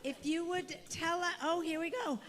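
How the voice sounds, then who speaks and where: A woman's voice: extremely high pitch, steady tone, moderate pace, fairly bright tone. A woman leading a congregation's Shabbat service, welcoming and upbeat as she calls people forward. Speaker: service leader. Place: synagogue sanctuary.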